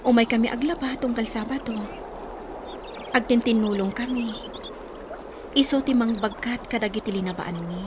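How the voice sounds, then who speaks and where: A woman's voice: on the high side, moderate pace, long pauses, background noise, natural voice. An actress with an Ilocano accent playing a lovelorn young village woman in a radio drama. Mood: reminiscing.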